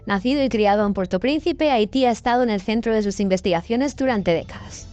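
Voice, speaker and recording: A woman reading a scripted news documentary narration in a clear, measured way. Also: medium pitch, a little mellow.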